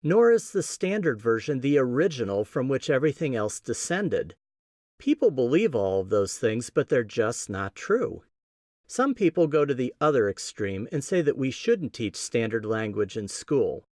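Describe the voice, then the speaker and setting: A man with a Midwestern American accent hosting a podcast, giving a scripted teaching talk in a measured, explanatory way with emphatic stresses. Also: medium pitch, very animated, darkish tone, no room sound, studio quality. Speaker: podcast host.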